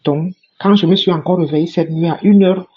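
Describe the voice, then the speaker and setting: Husky fervent woman giving testimony. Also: on the low side, steady tone, a little fast. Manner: fervent, repeats phrases for emphasis. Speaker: church member giving testimony. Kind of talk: spoken religious testimony. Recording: dull lo-fi recording.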